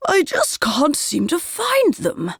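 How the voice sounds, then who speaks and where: A woman's voice: high voice, wildly swooping pitch, slow pace, no echo, studio quality, voice pushed up, full character voice. A woman voicing a flustered, scatterbrained village woman in a children's storybook, fluttery and embarrassed.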